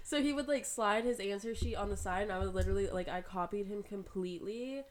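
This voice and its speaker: A young woman co-hosting a podcast, chatting casually and telling a school story with laughter woven in. She has an everyday, middle-pitched voice. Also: quick pace, a crowd in the background. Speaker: podcast co-host. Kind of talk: casual chat.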